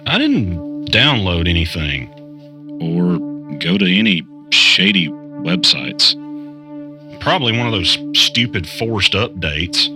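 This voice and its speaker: An actor playing a weary, low-key podcaster who talks in a hesitant, laconic way in a naturalistic audio drama. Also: male voice, big pitch swings, slow pace, over music, heavily acted. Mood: resigned. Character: weary, low-key studio podcaster investigating an arcade cabinet.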